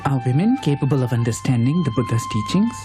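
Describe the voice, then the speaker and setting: A man playing a gentle, devoted monk attendant in an animated religious drama, respectful and earnest. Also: medium pitch, quick pace, music in the background, lightly acted. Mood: respectful pleading. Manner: deferential, earnest.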